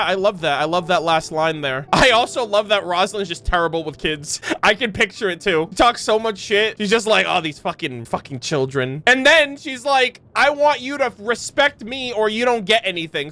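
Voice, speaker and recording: A young man giving casual, animated reaction commentary on a game, joking and ranting with plenty of swearing. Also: high-pitched. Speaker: streamer reacting to game clips.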